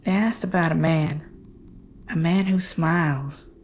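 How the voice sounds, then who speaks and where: A woman playing a haunted, fearful witness, telling a horror story in a hushed, halting, deliberate way. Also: low voice, fairly even intonation. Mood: haunted, uneasy. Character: haunted, fearful woman recounting her mother's terrifying secret. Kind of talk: slow dramatic horror storytelling.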